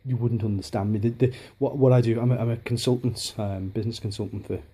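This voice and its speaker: A man in his thirties from Liverpool, chatting casually on a home vlog with a softened Liverpool accent, rambling with many restarts and ums, filmed on a handheld camera in his dining room. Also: on the low side, steady tone, quick pace, breathy edge. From Liverpool, England. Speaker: hobbyist vlogger. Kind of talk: casual home video chat.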